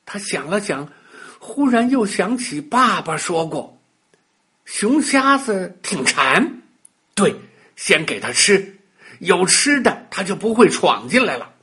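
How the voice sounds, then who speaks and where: A man telling a children's bedtime story in a dramatic, expressive way, with exclamations and sound words. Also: sits high, takes it slowly, breathy edge.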